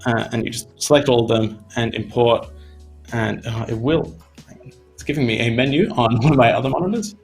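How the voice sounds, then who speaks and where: A young man from Australia talks through an animation lesson in a loose, chatty way, full of small fillers. His voice is gravelly, with soft music playing faintly underneath. He is at a desk in his home studio. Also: medium pitch, a little fast. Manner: relaxed, chatty, many fillers like "you know". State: voice tiring. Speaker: animation instructor. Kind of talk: casual live-stream tutorial.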